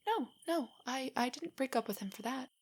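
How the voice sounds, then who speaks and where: A woman playing a nervous, nerdy young girlfriend in an intimate roleplay audio drama, soft and whispery, with hesitant, stammering speech. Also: high-pitched, animated, moderate pace. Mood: insecure, subdued. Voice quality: soft, breathy, often whispery. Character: shy, nervous, nerdy young girlfriend, self-doubting and affectionate.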